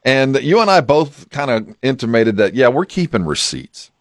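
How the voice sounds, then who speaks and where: Male morning sports radio host, mid-pitched, conversational on-air chat, dry studio sound. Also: big pitch swings, normal speed, no echo. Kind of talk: lively radio show chat.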